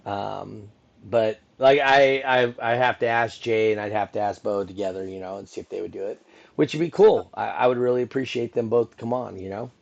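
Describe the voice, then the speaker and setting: A man's voice: lowish voice, steady tone, normal speed, vocal fry, highs cut off. A middle-aged man hosting a casual podcast conversation, rambling with many restarts and asides. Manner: rambling, full of restarts and "you know". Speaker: podcast host and event announcer.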